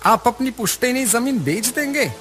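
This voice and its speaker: A man's voice: very high-pitched, expressive, moderate pace, a bit bright, soft background music. A man voicing a worried, respectful village man in a cartoon story, with a plaintive manner. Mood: surprised, concerned. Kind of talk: Hindi cartoon story acting.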